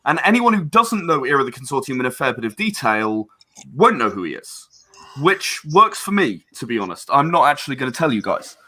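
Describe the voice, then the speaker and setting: A man's voice: medium pitch, very animated, a little fast, bright, forceful tone. A man speaking as an interview guest about his own creative project, enthusiastic, effusive and discursive in his explanations.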